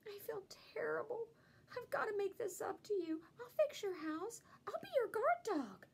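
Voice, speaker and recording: A woman's voice: very high voice, animated, moderate pace, gravelly, voice pushed up, exaggerated voice. A woman voicing a friendly, eager female dog in a children's storybook, sweet, earnest and chatty. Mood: earnest, pleading. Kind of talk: children's storybook character reading.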